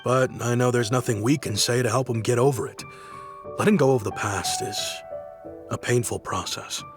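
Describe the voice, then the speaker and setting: A man's voice: mid-range voice, normal speed, natural voice. Proud, nostalgic businessman played by a man, music behind. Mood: wistful. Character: self-made businessman, proud yet nostalgic. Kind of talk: video game voice acting.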